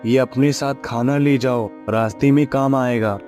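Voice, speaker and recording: A man voicing a kindly, calm older village uncle in a story cartoon, fatherly and consoling. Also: medium pitch, measured pace, faint music behind, natural voice. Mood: concerned, kindly. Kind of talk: acted dialogue in a moral story cartoon.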